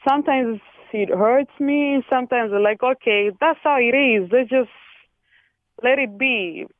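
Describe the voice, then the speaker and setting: A woman's voice: fairly high pitch, no echo. Congolese woman calling in on a phone line. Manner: halting, searching for words. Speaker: caller. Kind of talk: call-in radio conversation. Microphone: telephone.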